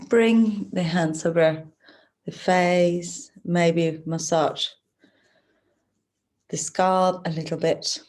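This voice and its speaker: A woman teaching yoga nidra, giving gentle, soothing, unhurried guidance through a relaxation practice. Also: fairly low pitch, fairly even intonation. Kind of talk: guided relaxation instruction.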